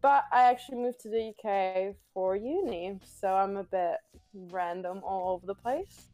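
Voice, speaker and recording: A woman's voice: mid-range voice, steady tone, normal speed, dark tone, faint music behind. A woman in her twenties from Italy, chatting casually on a podcast with friends. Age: 20s. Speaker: podcast co-host. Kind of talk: casual chat.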